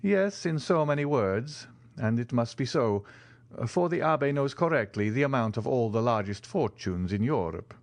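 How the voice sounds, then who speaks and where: A man voicing a cool, composed wealthy count who speaks in a measured way. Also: medium pitch, expressive, vocal fry, dry recording, voice pushed up.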